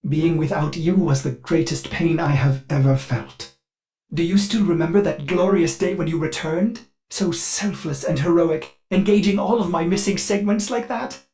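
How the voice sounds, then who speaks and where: An earnest, servile artificial intelligence from a video game, with a synthetic, glitchy robot tone. The delivery is theatrical and fretful, formal in phrasing and utterly devoted. Music plays behind the voice. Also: male voice, on the high side, a little mellow. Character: devoted, anxious male ship AI, cheery servant with glitches. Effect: synthetic glitchy robot voice processing. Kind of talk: video game character dialogue.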